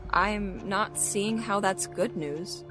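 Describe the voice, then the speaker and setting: A woman's voice: medium pitch, takes it slowly, lightly acted. A woman acting in an audio drama as a cool, shrewd, understated member of a vigilante team. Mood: questioning. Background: music.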